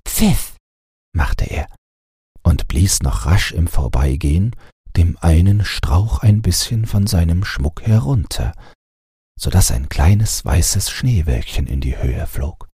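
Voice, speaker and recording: A man narrating a bedtime fairy tale as an audiobook, in an expressive storytelling manner. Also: low voice, slow pace, slightly rough, dry recording, clean studio sound.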